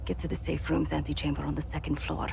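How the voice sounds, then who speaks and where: An actress playing a cool, vengeful thief leading a heist in a video game, terse, commanding and self-assured. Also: female voice, low voice, flat delivery, quick pace, vocal fry, darkish tone. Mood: cool, determined. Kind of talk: video game cutscene acting. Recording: noisy vehicle and ambient effects behind.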